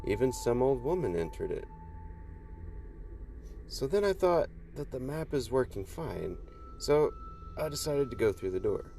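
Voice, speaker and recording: A man hosting a podcast, reading creepy first-person stories aloud in a measured, deliberate narration. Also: mid-range voice, big pitch swings, gravelly, warm tone. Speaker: podcast host and reader. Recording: music under the voice. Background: background music.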